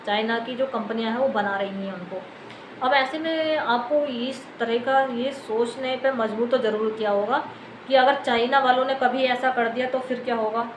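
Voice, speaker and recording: A woman's voice: a little high, moderate pace. A woman with an Indian accent giving unscripted news commentary, explaining things to viewers in a wordy, repetitive way. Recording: echoey room, clear background noise.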